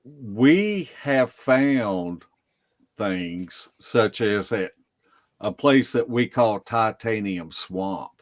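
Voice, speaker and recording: A man telling stories casually as a podcast guest, in a halting, deliberate way. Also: fairly low pitch, animated, no echo. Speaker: podcast guest, Bigfoot investigator. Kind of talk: casual storytelling.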